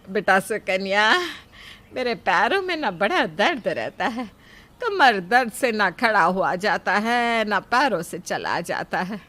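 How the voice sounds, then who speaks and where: A woman's voice: mid-range voice, sing-song, takes it slowly, pushed and bright, heavily acted. A woman playing a complaining, scheming elderly Indian mother-in-law in a cartoon story, with dramatic delivery. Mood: pleading. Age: older. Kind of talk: acted cartoon story dialogue.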